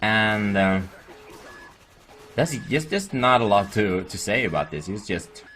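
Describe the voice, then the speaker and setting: A man's voice: lowish voice, very animated, unhurried, fairly bright tone, faint music behind. A man from Sweden with a Swedish accent gives casual, rambling and jokey commentary over gameplay. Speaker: gaming video creator.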